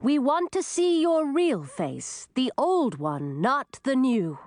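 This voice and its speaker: A woman voicing a commanding royal mother in a storybook, authoritative, with a measured, chanting delivery. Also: sits high, very animated, a little mellow, dry recording, clean studio sound, voice pushed up, full character voice. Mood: incantatory. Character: commanding royal mother who casts rhyming spells. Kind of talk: storybook character voice.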